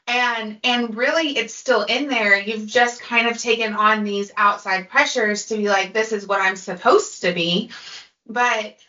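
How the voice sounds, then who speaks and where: A woman podcast host, chatty and animated, talking in a casual conversation. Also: a little high, a little slow, roomy sound, lo-fi sound.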